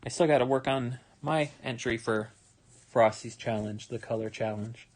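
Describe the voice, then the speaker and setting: A tired young man with a relaxed, rambling manner, talking casually with many fillers as he draws live and explains his steps. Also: medium pitch, normal speed. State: tired, yawning. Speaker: manga artist streaming his drawing. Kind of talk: casual livestream commentary while drawing.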